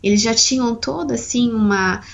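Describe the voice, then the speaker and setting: A woman's voice: on the high side, moderate pace, vocal fry, a bit bright, highs cut off. A Brazilian woman co-hosting a podcast, sharing personal memories in a reflective, casual way. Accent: Brazilian Portuguese. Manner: reflective, personal storytelling, frequent "né". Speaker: podcast co-host. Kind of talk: casual chat.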